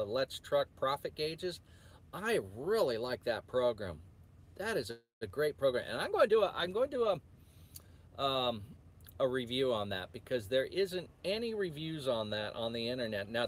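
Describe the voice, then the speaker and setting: A man chatting casually to viewers as a truck driver vlogger, rambling and often restarting his sentences in a hesitant, meandering way. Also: fairly high pitch. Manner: hesitant, meandering, self-interrupting.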